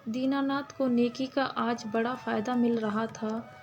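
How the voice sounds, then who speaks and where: A woman narrating a scripted story as a storyteller, in a halting delivery that breaks phrases mid-sentence. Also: on the high side, fairly even intonation, a little mellow, highs cut off, a little hiss or hum. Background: background music.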